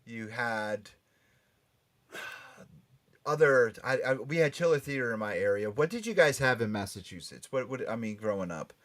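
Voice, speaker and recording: Middle aged american guy hosting a podcast, medium pitch, chatty, kinda stumbles and repeats himself. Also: lively intonation, a bit bright. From Connecticut, United States. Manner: halting, repeats words as he steers topics. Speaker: podcast host. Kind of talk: casual panel chat.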